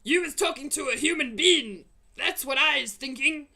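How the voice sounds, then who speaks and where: Woman acting a huge brutish male giant, loud, raised pitch, bullying, bellowing, broken grammar, storybook villain. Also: normal speed. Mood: suspicious, menacing. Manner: bellowing insults in broken, made-up-word grammar.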